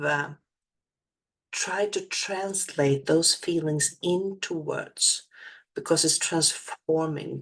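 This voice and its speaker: A low-pitched woman with a Swedish accent talks slowly in a reflective solo monologue. English is her second language, and she pauses, repeats and searches for the right words as she goes. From Sweden. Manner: searching for words, many restarts and fillers. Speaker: spiritual channeler.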